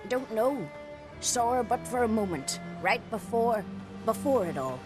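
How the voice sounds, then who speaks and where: A woman playing a hesitant, bitter island village woman in a video game, earnest and halting. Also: on the high side, lively intonation. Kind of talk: video game cutscene acting. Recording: loud game music under the voice.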